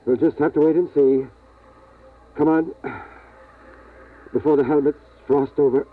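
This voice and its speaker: Protective, weary father played by a man, somewhat high male voice, measured and grave, old narrow-band radio drama sound. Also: fairly even intonation, a little hiss or hum, close to an everyday voice. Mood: resolute. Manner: measured, grave, halting reassurance. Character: protective, weary father of a family surviving catastrophe. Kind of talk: 1950s radio drama acting. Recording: tinny vintage radio recording.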